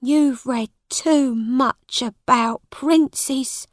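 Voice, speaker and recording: A woman's voice: sits high, very slow, no echo, studio quality, pitched above the performer's own voice. A woman voicing a tender, worried mother in a storybook, speaking in an emphatic, pleading way. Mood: pitying, pleading.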